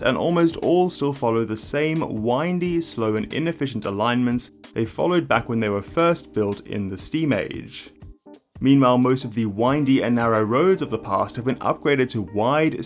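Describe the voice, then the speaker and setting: A young man with an accent that blends South African and Australian, speaking at a middle pitch in a clear, measured way. Faint music plays under his voice. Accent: South African mixed with Australian. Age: young adult. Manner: clear, measured delivery with casual fillers in Q&A. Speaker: YouTube host. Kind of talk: scripted explainer narration, then casual Q&A.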